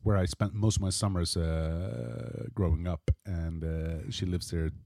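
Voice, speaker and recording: A Swedish man in his forties talks casually as a guest. His voice sits low and sounds warm and mellow, and he restarts his sentences as he goes. The recording is dry and close. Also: gravelly, no room sound. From northern Sweden. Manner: relaxed, halting with frequent restarts. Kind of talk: casual chat.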